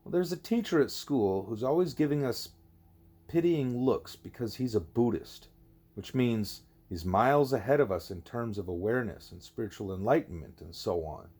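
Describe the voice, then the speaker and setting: A man's voice: medium pitch, animated, moderate pace, darkish tone, breathy edge. A man voicing a curious, outspoken young schoolgirl in an audiobook, informal and questioning. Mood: curious, challenging.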